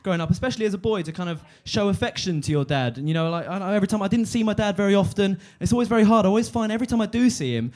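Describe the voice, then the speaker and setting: A man in his twenties doing a deadpan stand-up set on a comedy club stage, halting with fillers and restarts. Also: sits high. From London. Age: 20s.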